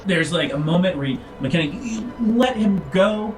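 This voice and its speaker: A man narrating a tabletop game as game master, improvising descriptions in a halting, informal way. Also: sits high, animated, far from the mic. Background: music.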